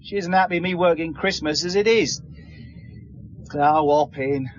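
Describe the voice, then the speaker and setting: An actor playing a cheeky, grudging working-class taxi driver in a radio drama, chatty and colloquial. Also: male voice, a little high, unhurried, dry recording, natural voice. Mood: grumbling, cheeky. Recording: dull sound with faint vehicle noise.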